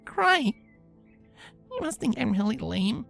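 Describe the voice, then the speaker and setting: A small, earnest filly played by a woman speaks in a high, very lively voice. She is hesitant and childlike, a storybook pony girl. Faint music plays behind her. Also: slow pace, creaky, full character voice. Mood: tearful, embarrassed. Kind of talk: audio storybook dialogue.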